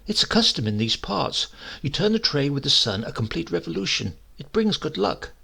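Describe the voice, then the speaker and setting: Man acting a dry, gravelly, suspicious nephew. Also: fairly high pitch, very animated, moderate pace, sharp tone, exaggerated voice. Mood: feigned casual. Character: suspicious, dryly polite nephew, a writer. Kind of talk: acted dialogue in a storybook reading.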